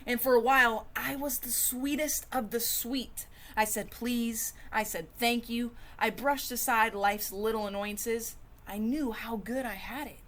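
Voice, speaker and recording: A woman reading a children's storybook aloud in an expressive, dramatic way, with varied emphasis and pauses. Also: fairly high pitch. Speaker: storybook reader.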